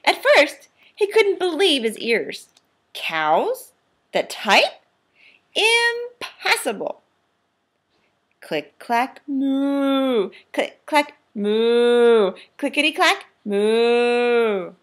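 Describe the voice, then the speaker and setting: A woman's voice: fairly high pitch, sing-song, extremely slow. A woman teacher reading a children's picture book aloud, expressively and with careful, instructive phrasing. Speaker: teacher demonstrating a read-aloud.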